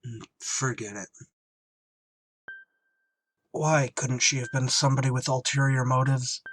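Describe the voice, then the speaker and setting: An actor playing a gruff, tormented emergency ship pilot in a radio drama, terse and weary under strain. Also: male voice, mid-range voice, steady tone, measured pace, a bit bright, a little hiss or hum, close to an everyday voice. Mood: brooding, anguished. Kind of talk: radio drama acting with narrated inner monologue.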